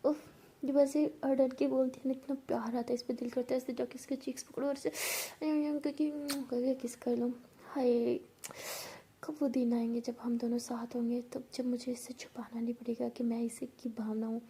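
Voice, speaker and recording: A talkative young woman in a told romance, acted out by a woman storyteller. She scolds and teases in long rambles, secretly smitten. The voice is very mellow and slightly husky. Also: sits high, little pitch movement, moderate pace. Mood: lovestruck. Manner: rambling, teasing, stammers when flustered.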